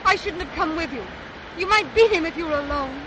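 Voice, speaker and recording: Imperiled radio heroine, very high voice, anxious and pleading, melodramatic delivery, slightly noisy vintage recording. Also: female voice, fairly quick. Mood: frightened, despairing. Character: frightened, anxious female castaway in peril. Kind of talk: 1940s radio drama acting.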